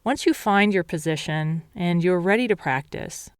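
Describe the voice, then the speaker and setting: A woman meditation teacher leading a guided meditation in a calm, measured, instructional way. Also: on the low side, clear, resonant tone, dry recording, clean studio sound.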